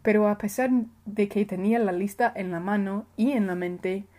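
Mellow-voiced woman reading her essay. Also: mid-range voice, fairly even intonation. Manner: careful, measured reading with small self-corrections. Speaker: writer. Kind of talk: reading her own personal essay aloud.

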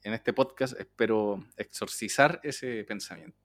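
A man's voice: fairly low pitch, unhurried, dry recording. A man with a Chilean accent chatting casually as a podcast co-host, telling anecdotes in informal slang and acting out bits of dialogue.

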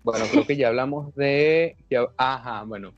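A man hosting an interview, playful and teasing, tossing in quick interjections. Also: medium pitch, no room sound, lo-fi sound. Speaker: host interviewer. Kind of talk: casual chat.

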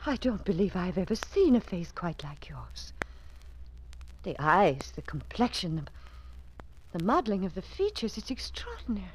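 Melodramatic, vain older widow, sighing and imperious; mid pitch, radio-play delivery. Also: female voice, huge pitch swings, normal speed, a little hiss or hum, low-quality recording. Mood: wondering. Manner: theatrical, breathy sighs, swings between despair and command. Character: vain aging Parisian widow, melodramatic, grieving her lost beauty. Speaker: lead actress.

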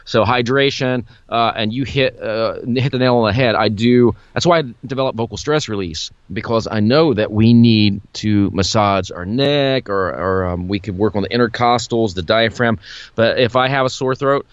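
A man with a low, smooth voice talks shop as a vocal coach on a podcast, brisk and plain-spoken. The recording sounds muffled and dry, with no room around him. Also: no echo. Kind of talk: casual podcast chat.

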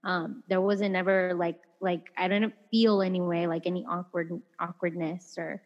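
A chatty young woman co-hosting a podcast, laughing easily and speaking loosely with many fillers. Her voice sits at an ordinary pitch. The audio is dull and lo-fi, with a long reverb trailing after it. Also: level tone, moderate pace. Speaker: podcast co-host. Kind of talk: casual chat reading listener messages.

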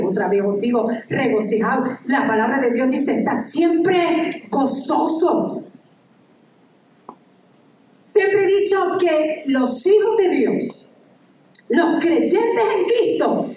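A high-pitched woman delivers an animated, exclamatory sermon, reading scripture aloud between bursts of enthusiasm. Her voice comes from a distance and rings through a large, echoey hall. A faint room ambience sits under her. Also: a little hiss or hum. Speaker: preacher.

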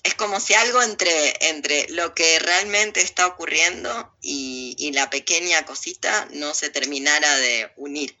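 A woman speaks as an interview guest in a very bright, tense voice. She is blunt and sardonic, stressing her opinions with emphatic repetition. The sound is a bit muffled and lo-fi. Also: low voice, measured pace, hardly any pauses. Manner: blunt, sardonic, emphatic, repeats phrases for effect. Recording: muffled lo-fi audio.

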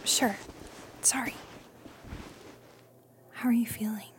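A woman playing a caring, soft-spoken and hesitant young companion in a radio drama. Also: on the high side, steady tone, slow pace, a little hiss or hum. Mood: gentle concern.

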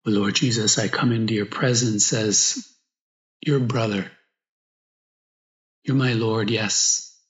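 A male priest leads a guided meditation in a mid-pitched voice, in slow, reflective phrases broken by pauses. The recording is echoey and a little dull. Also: fairly bright tone. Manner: slow, reflective, pausing between short phrases. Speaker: priest guiding meditation and prayer. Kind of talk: guided meditation, spoken prayer with gospel reading. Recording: echoey room, slightly dull sound.

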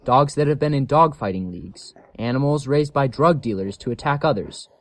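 A man's voice: mid-range voice, animated, brisk, dry recording, close to an everyday voice. A man playing a caring, cautionary animal shelter worker in a scripted audio story, earnest and explanatory. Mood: grave, explanatory.

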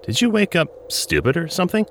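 Wildly animated wisecracking coyote, acted by a man. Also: a little high, fast, gravelly, a little hiss or hum, full character voice. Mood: mocking, breezy. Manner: sarcastic, teasing, slangy. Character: wisecracking, smart-aleck male talking coyote. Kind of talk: comedic audio drama acting.

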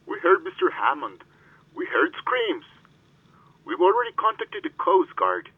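An actor playing a coast guard operator heard over a husky, tinny radio, speaking in brisk, clipped lines. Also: male voice, sits high, sing-song, no room sound, full character voice.

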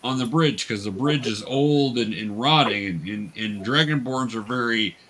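Bright-voiced man, patient game master. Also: medium pitch, normal speed, slight background noise, poor audio. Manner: hesitant, explanatory, patient with players. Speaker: game master teaching young players. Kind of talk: casual tabletop game narration and coaching.

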